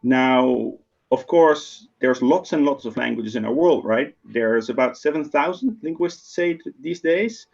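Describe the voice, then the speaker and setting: Dutch guy giving a keynote on a video call, bit of gravel in his voice, keeps saying right?. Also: mid-range voice, lively intonation, unhurried, darkish tone, lo-fi sound. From Netherlands. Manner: conversational, often adds "right?". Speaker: keynote speaker. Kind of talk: online lecture.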